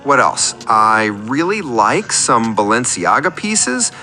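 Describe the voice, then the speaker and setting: A man's voice: mid-range voice, very animated, slow, bright, forceful tone, heavily acted. A man playing an eager, fawning tradesman who calls a radio doctor, earnest, rambling and oversharing in a comic radio play. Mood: rambling, confiding.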